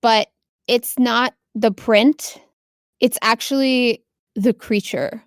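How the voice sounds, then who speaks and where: A woman's voice: a little high, steady tone, takes it slowly, no room sound. Woman game master, close and dry. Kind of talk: improvised narration of a tabletop game.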